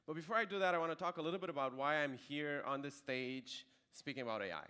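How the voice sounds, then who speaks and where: A man's voice: a little high, fast talker, bright, forceful tone, smooth. A man in his thirties with a Romanian accent, giving a conversational conference talk with dry asides. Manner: conversational with dry jokes and fillers. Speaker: conference speaker. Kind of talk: keynote presentation.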